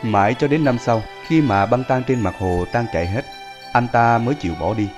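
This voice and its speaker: A man's voice: mid-range voice, a little fast. A man reading scripted story narration as the narrator. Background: music.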